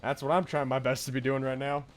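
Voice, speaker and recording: A man's voice: mid-range voice, flat delivery, fast talker. A man streaming a visual novel, reading aloud expressively and adding chatty asides. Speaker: game streamer. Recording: game music behind the voice. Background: video game music.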